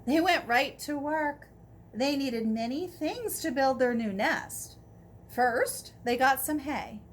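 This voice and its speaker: A woman narrating a children's picture book aloud in an expressive storytelling style. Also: high voice, takes it slowly, slight background noise. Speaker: storybook reader.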